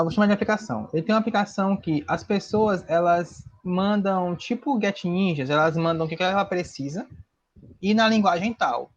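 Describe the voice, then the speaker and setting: A man with a distinctly high voice and a Brazilian accent, talking in a loose, rambling, informal way. The online call audio is somewhat muffled and lo-fi. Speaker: participant in an online discussion. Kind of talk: casual anecdote.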